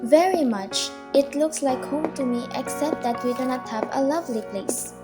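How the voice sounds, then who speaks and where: A woman playing a gentle, self-effacing young provincial woman in a student radio drama. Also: high-pitched, normal speed, lightly acted. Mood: modest, shy. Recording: music under the voice.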